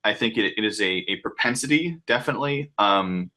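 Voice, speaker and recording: Bright-voiced, low-pitched man interviewing. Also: animated, a little fast. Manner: hesitant, rambling, restarts mid-sentence. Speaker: interviewer, host. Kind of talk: casual interview.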